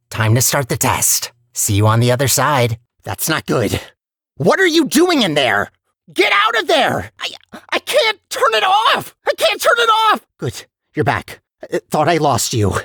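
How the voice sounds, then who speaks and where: A man playing a chatty, anxious robot personality core in a video game, guiding the player in an expressive, conversational and theatrical way. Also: fairly high pitch, no echo, studio quality, exaggerated voice. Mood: determined, eager, then panicked. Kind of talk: animated video game voice acting.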